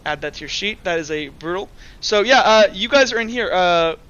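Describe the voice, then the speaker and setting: A man's voice: high voice, animated, brisk, a bit bright, clear, resonant tone, dull audio. A man improvising narration and rulings as the game master of a tabletop roleplaying game.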